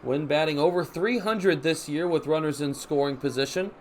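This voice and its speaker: A young man calling baseball play-by-play for radio in crisp, descriptive phrases, as live sports commentary. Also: a little high, normal speed, a little hiss or hum. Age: young adult. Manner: crisp, descriptive phrases with excited calls on big plays. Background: ballpark ambience.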